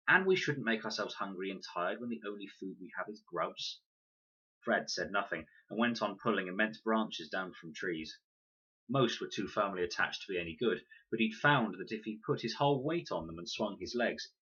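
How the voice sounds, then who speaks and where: A man reading a children's novel aloud in an expressive narration style. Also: lowish voice, husky, lo-fi sound. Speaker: audiobook narrator, reader.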